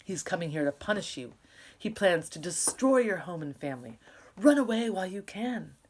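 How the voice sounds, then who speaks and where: A woman's voice: fairly low pitch, very animated, normal speed, a little mellow, slight background noise, pitched above the performer's own voice. A woman voicing an alarmed male neighbor in a storybook, giving an urgent, emphatic warning.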